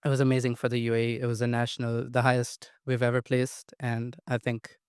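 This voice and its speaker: Young man, UAE, late teens, eager student, smooth clear voice. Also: medium pitch, monotone, a little fast, darkish tone, no echo, clean studio sound. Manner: eager, repeats "amazing". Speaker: student panelist. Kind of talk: answering panel questions.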